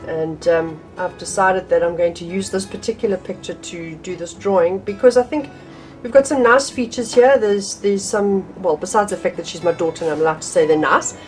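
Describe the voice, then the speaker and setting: A woman's voice: lowish voice, normal speed, a little mellow, soft background music. A middle-aged woman teaching drawing from her home art room, chatty and a little nasal from a cold, with frequent ums and restarts. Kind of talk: casual art tutorial.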